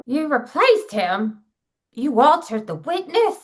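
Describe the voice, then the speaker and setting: A woman performs an old mother burdened by guilt, high in pitch and dramatically intense. She speaks slowly and haltingly, as in a live comedy table read. Faint music plays behind her. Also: big pitch swings, exaggerated voice. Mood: alarmed, accusing. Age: older. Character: frantic, guilt-ridden silly old mother with a secret. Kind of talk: live comedy script reading.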